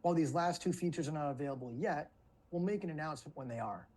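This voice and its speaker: A man with a slightly husky voice gives a scripted product presentation in a webinar. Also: a little high, fast, a little mellow. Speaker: company presenter.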